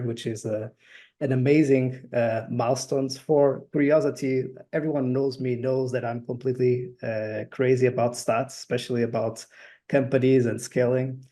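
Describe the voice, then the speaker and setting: A male podcast host introduces his guest with eager enthusiasm, pausing often on filler sounds. His voice has a mellow, warm tone at a middle pitch. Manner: enthusiastic, many filler uhs. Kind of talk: interview hosting.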